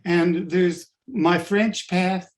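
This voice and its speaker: Halting man with a South African accent. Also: sits high, fairly even intonation. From Canada. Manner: halting, hesitant, many fillers and restarts. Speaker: workshop leader. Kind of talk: personal account in group discussion.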